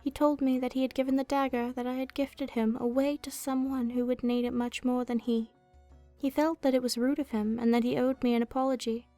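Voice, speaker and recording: A woman voicing an ancient, gentle female dragon in a soft ASMR roleplay, speaking wistfully and formally, as if telling a storybook tale. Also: high-pitched.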